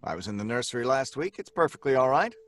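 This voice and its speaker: An actor playing a skeptical husband in a live audio drama, dismissive and wearily reassuring. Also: male voice, medium pitch, expressive, quick pace, fairly bright tone, natural voice. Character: skeptical adult husband George, father. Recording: live stage recording with faint music.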